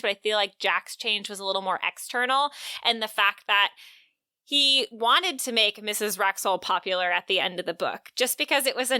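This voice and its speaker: A woman co-host chats casually in a book discussion. Her voice is a bit high, bright and smooth. It is recorded fairly dry and close. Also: no room sound. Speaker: podcast co-host.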